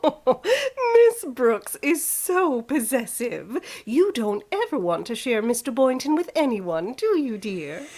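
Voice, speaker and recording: A woman's voice: high-pitched, very animated, takes it slowly, a little mellow, full character voice. A woman playing a scheming, falsely sweet rival in a vintage radio comedy, syrupy and condescending. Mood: catty, smug.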